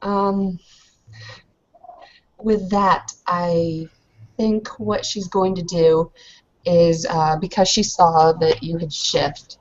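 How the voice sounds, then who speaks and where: Unhurried, low-pitched woman on lo-fi audio. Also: flat delivery. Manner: halting, informal, pausing to think. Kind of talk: casual tabletop roleplay narration. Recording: dull lo-fi voice recording.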